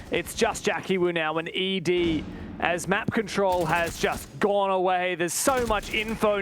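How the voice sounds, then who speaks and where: A man's voice: sits high, a little hiss or hum. A man doing live esports commentary and analysis in a conversational manner.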